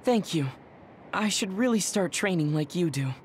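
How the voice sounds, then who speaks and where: A man's voice: high-pitched, expressive, moderate pace, slight background noise. Small, polite young male squad member. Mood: weary, grateful. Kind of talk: English video game dub acting. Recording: music under the voice.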